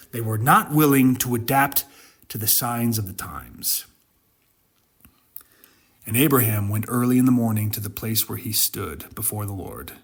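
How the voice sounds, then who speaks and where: A man narrates scripture and explains it in the manner of a dramatic sermon. His voice sits at a middling pitch with a somewhat husky edge. He is emphatic and pauses deliberately for weight. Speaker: Bible commentator and narrator. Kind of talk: dramatic scripture reading with interpretive commentary.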